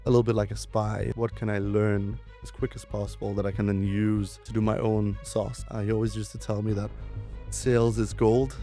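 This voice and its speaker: A man in his twenties from Denmark, raised in southern France, telling his career story in a relaxed interview, with lots of fillers and restarts. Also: on the low side, moderate pace, soft background music. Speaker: guest, venture capitalist.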